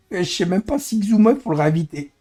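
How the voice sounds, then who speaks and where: A hoarse man who is losing his voice, grumbling in exasperation as he comments live on his racing game stream. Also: high-pitched, dark tone. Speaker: streamer and racing league head.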